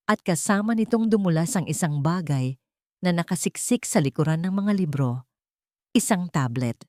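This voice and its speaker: Female narrator for audio drama. Lowish register, animated intonation, suspenseful phrasing, dry studio-like sound. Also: no room sound. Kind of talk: dramatic scripted story narration.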